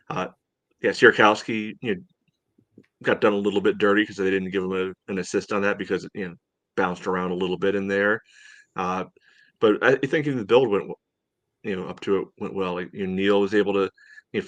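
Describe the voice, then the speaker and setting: A man co-hosting a soccer podcast, recapping casually and haltingly, leaning on "you know" a lot. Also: on the low side, a bit bright, dry recording. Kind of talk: casual match recap chat.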